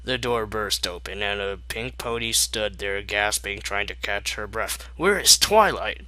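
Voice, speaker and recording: Very bright, sarcastic man reading fan fiction. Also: fairly low pitch, flat delivery, a little slow, clean tone, no echo. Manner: sarcastic, exasperated. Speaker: narrator. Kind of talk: mocking fan fiction reading with ad-libbed asides.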